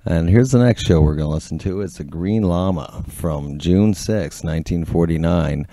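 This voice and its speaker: A man's voice: low-pitched, moderate pace, warm tone, no echo, studio quality. A man hosting a compilation of old radio shows, casually introducing programs. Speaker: host of old-time radio show compilation.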